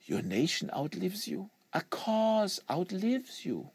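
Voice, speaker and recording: An earnest male zealot, acted out by a man reading a novel aloud. His voice sits fairly high and swings widely as he lectures in solemn, rhetorical, foreign-sounding phrases. The recording is dry and close. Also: sing-song, slow, darkish tone, slightly rough, no echo, heavily acted. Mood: fervent, preaching. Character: earnest, lecturing French-Canadian male assassin in a wheelchair.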